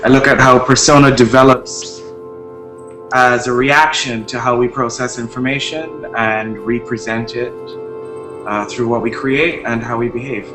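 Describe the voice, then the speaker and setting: Man from Barbados, artist in interview, measured and reflective, mid voice, echoey room over music. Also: mid-range voice, steady tone. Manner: thoughtful, measured, with frequent pauses and restarts. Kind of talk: interview talk about his art practice.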